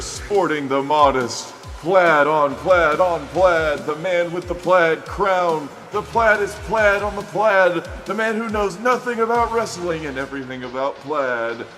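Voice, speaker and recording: A man's voice: on the high side, slow, bright, forceful tone, faint music behind. A man playing a grandiose wrestling ring announcer, pompous and drawn out, in a comic podcast promo.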